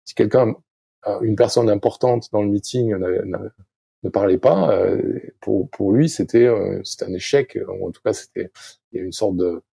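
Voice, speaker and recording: Low gravelly man, halting speech. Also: dark tone, no echo. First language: French. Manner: halting, many fillers and restarts. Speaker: guest, sailing coach. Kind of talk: podcast interview, thinking aloud.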